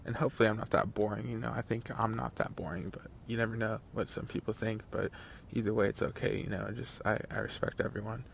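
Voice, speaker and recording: Gravelly low-voiced young man, rambling. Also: steady tone, quick pace, a little hiss or hum. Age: 20s. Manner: rambling, with many fillers and restarts. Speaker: channel host telling a story. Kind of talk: casual story time over gameplay.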